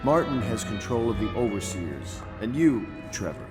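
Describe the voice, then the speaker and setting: An actor playing a stern, decisive military conspirator in a video game, speaking with blunt authority. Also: male voice, lowish voice, very animated, normal speed. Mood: grimly triumphant. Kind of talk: video game cutscene acting. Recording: music playing behind the voice.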